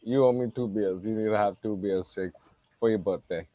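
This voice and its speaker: A man's voice: on the low side, normal speed, warm tone, no echo, muffled recording. A man bantering and teasing on a casual livestream call. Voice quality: joking, teasing.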